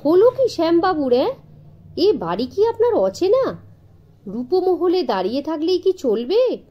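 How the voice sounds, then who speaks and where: A woman's voice: extremely high pitch, big pitch swings, unhurried, lo-fi sound, voice pushed up, full character voice. A woman voicing a sly, teasing male servant in a children's audio story, with mock surprise and mild scorn. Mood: teasing, hurrying. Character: sly, teasing manservant, man, mildly scornful.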